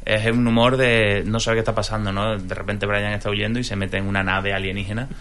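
A man's voice: fairly low pitch, level tone, fast, fairly bright tone. A man from in a casual film discussion, opinionated and fond of rhetorical questions. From Spain. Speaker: podcast panelist.

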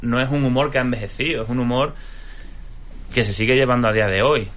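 A man's voice: medium pitch, quick pace, a bit bright. A man from in a casual film discussion, opinionated and fond of rhetorical questions. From Spain. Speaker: podcast panelist.

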